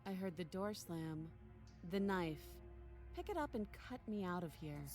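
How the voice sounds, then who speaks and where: Composed, mellow captive princess. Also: female voice, fairly low pitch, a little slow, faint music behind. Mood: nonchalant. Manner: calm, matter-of-fact. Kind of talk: visual novel game voice acting.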